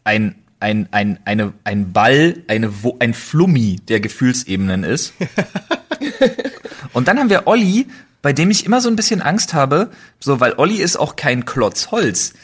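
A man's voice: mid-range voice, very animated, a little slow, breathy edge. A young man hosting a casual podcast chat, playful and rambling, laughing often as he talks. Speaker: podcast host.

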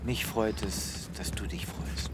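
An actor playing a melodramatic, self-pitying animal doctor in an audio drama, speaking theatrically. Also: male voice, fairly low pitch, steady tone, slow pace, background noise. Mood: tender. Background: music.

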